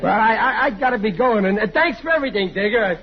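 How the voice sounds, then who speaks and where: High-voiced blustering, gullible husband. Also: male voice, expressive, quick pace, a faint crowd. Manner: blustery, stammering, emphatic. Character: blustering, gullible, stubborn working-class husband and father. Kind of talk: 1940s radio comedy acting. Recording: muffled old-time radio recording, faint hiss.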